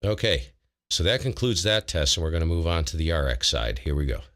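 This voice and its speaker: A man with a very low voice hosting a casual ham radio livestream, talking informally and self-deprecatingly, often wandering mid-sentence. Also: big pitch swings, fairly quick, fairly bright tone. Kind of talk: casual technical talk to a live chat.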